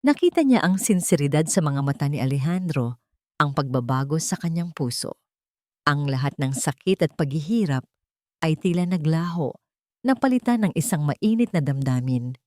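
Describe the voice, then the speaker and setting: Low-pitched woman narrating, dry sound. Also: lively intonation, normal speed, darkish tone, no echo. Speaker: storyteller, audiobook narrator. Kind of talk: scripted narration of a love story.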